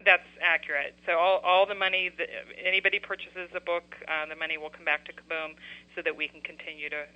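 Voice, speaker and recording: A woman's voice: lowish voice, quick pace. Earnest woman guest on lo-fi radio. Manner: earnest, explanatory, steady. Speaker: guest author and nonprofit executive. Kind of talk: radio interview. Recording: dull lo-fi radio audio.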